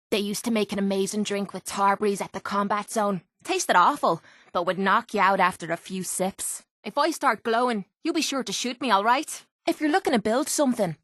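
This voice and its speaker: Casting: actress as a tough young Irish woman for a game companion, blunt and sardonic, mid-pitched, closely recorded and dry. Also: fairly bright tone, no room sound, lightly acted. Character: tough, cynical, foul-mouthed young Irish woman fighter. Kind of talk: video game companion voice acting. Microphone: close, dry studio microphone.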